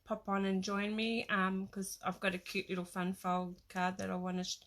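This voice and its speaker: Middle-aged female, Australian accent, mid pitch, slightly croaky with a cold. Hesitant, informal craft demonstrator chatting on a livestream. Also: monotone, normal speed, fairly bright tone. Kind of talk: casual livestream chat. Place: small home craft room.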